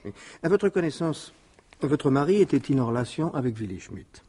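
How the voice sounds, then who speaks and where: Husky, tactful police inspector. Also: male voice, medium pitch, sing-song, moderate pace, mellow. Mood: probing, tactful. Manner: polite, hesitant, careful. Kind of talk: 1950s-style French radio detective drama acting.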